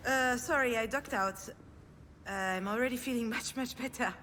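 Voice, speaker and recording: A woman's voice: a little high, normal speed, pushed and bright, slight background noise. An actress playing a flustered, sardonic woman in a video game, with a wry, self-deprecating and hesitant manner. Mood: flustered, defensive. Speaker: voice actor playing the lead character. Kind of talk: acted game dialogue with inner-monologue narration.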